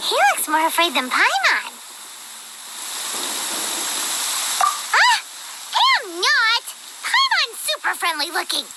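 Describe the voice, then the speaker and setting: A woman voicing a tiny childlike fairy companion in a video game, squeaky, excitable, easily spooked and bossy. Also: heavy background noise, full character voice. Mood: indignant, reassuring. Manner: excitable, blustering, refers to self in third person. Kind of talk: video game cartoon voice acting.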